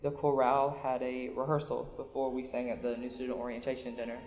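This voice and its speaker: A man's voice: medium pitch, completely flat delivery, quick pace, darkish tone. Young male student interviewee, level delivery, very roomy sound with faint music behind. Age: young adult. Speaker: college choir singer. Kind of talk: casual interview recollection. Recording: echoey hall, faint music.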